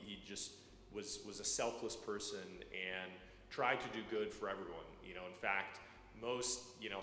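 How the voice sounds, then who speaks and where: A man in his thirties from Ukraine, speaking as a guest to a church congregation, halting with many fillers and repeated words. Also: on the low side, bright, forceful tone. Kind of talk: personal testimony to a church congregation. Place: church sanctuary. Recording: echoey church hall, faint room noise.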